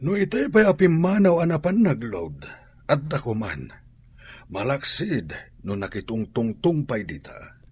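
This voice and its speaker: A man's voice: medium pitch, very animated, measured pace, a little mellow, breathy edge, no room sound. A man playing a concerned, earnest father in an Ilocano radio drama. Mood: suspicious. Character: concerned father and husband in a troubled family.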